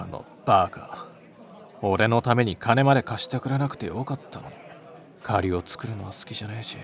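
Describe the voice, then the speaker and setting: A man acting a brusque, grumbling but soft-hearted high school boy in an anime-style voice drama, blunt and rough in his speech.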